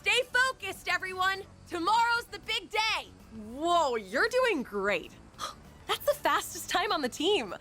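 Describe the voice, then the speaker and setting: An actress playing a peppy, encouraging teenage team manager in a video game, bubbly and enthusiastic. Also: female voice, very high-pitched, sing-song, slow pace, bright, forceful tone, faint music behind, exaggerated voice. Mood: upbeat.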